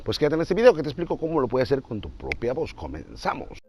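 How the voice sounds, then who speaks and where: A man's voice: mid-range voice, huge pitch swings, fast, over loud music. A man in his twenties hosting an informal tutorial, explaining step by step with repeated points and rhetorical questions. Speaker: video host teaching voice creation for dubbing.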